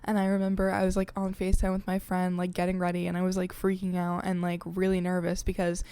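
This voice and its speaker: A woman's voice: lowish voice, completely flat delivery, quick pace, dark tone, smooth. A young woman hosting her own podcast, chatting in a confiding way with lots of filler words. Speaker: podcast host. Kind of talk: casual chat. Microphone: desk podcast mic.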